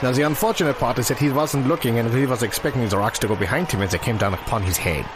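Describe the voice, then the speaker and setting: A man playing an earnest sports expert giving halting, deadpan mock commentary. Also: medium pitch, noisy background, lightly acted. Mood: matter-of-fact. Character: earnest male sports expert on absurd rock tossing.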